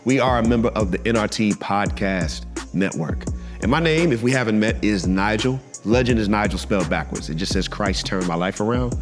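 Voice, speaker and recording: American guy hosting a podcast, low voice, casual talk. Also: brisk, over music. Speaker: podcast host. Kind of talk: podcast intro and casual chat.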